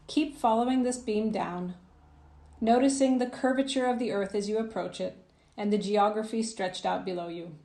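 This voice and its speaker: A woman narrating a guided visualisation as a coach, in a soothing, gentle, measured manner with phrases that trail off softly. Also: mid-range voice, echoey room. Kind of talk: guided meditation, scripted narration.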